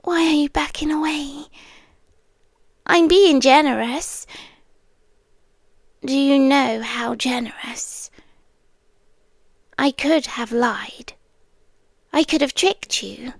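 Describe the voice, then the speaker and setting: A woman voicing a lonely, eerie trapped woman who is half a monster. She speaks slowly and softly, often in a breathy whisper, with coaxing intimacy. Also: sits high, no room sound, clean studio sound. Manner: slow, soft and intimate, coaxing. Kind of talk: second-person audio drama.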